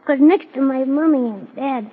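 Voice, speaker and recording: A woman's voice: high-pitched, normal speed, natural voice. A woman playing a polite, innocent, wistful little boy in an old-time children's radio fantasy, earnest and naive in his wording. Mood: wistful, worried. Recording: muffled, tinny vintage radio recording.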